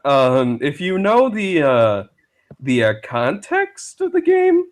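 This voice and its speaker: A man chatting casually as a guest on a live stream, speaking in a hesitant, halting way. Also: a little high, wildly swooping pitch. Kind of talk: casual chat.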